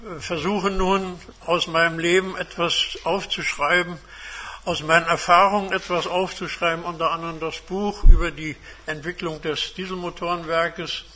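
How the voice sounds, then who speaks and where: A man of seventy-five giving a measured, formal autobiographical talk to a seminar as a guest speaker. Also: fairly high pitch, pushed and bright. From Germany. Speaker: guest speaker, retired engineer and academic. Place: seminar room.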